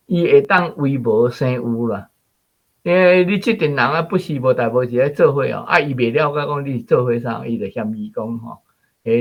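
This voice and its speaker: A man with a Taiwanese accent and a rough, husky voice explains things conversationally. His pitch is medium, and the recording sounds muffled and lo-fi. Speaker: guest commentator. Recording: dull lo-fi sound.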